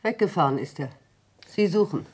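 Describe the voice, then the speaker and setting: A woman's voice: on the low side, expressive, normal speed, darkish tone, husky. A woman acting a subdued, plain-spoken farm woman in a German radio crime drama, speaking tersely. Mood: subdued, numb.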